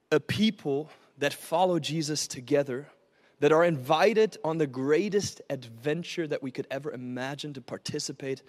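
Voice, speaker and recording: A man from Germany who partly grew up in Oklahoma, speaking as a guest pastor in an earnest sermon with personal testimony, often repeating words as he goes. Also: fairly high pitch. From born and raised in northern Germany, then Oklahoma from age twelve.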